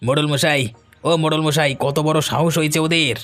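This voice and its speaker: A man's voice: on the high side, steady tone, moderate pace, fairly bright tone, soft background music, lightly acted. A man voicing a hot-headed, fawning henchman of a village headman in a cartoon, agitated and goading. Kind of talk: cartoon dialogue.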